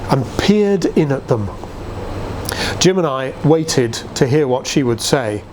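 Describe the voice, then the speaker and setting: A man reading a literary story aloud in a dramatic, measured storytelling manner. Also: a little high, a little hiss or hum. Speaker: reader. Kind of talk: expressive literary reading of a nostalgic Christmas story.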